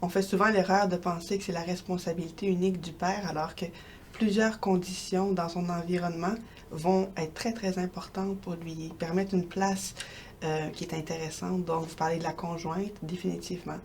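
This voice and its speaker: A woman from Quebec with a Québécois accent, answering interview questions as a researcher in a measured, academic way. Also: lowish voice, steady tone, a little mellow, slight background noise. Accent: Québécois French.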